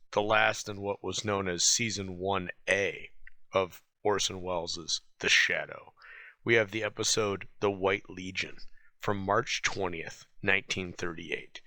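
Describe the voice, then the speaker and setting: A man's voice: deep voice, pushed and bright, no echo, low-quality recording. A man giving a scripted host's introduction to an old radio program.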